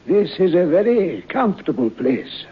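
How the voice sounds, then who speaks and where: An actor playing a dignified, sorrowful old man in a vintage radio horror drama, halting, grave and bluntly sure of himself. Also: sits high, big pitch swings, husky, exaggerated voice. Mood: grave, reserved. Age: older. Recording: tinny, band-limited old radio recording.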